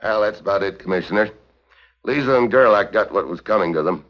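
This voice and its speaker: An actor playing a tough, wry American secret agent in a 1950s television crime drama, with clipped, deadpan delivery. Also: male voice, fairly low pitch, brisk. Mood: businesslike, wry. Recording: dull, muffled 1950s television soundtrack.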